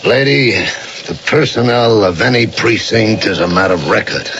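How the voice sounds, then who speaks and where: An actor playing a gruff, officious police desk sergeant with a gravelly voice in a 1980 radio drama. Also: male voice, lowish voice, lively intonation, normal speed, lightly acted. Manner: gruff, bureaucratic. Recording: dull, noisy old radio recording.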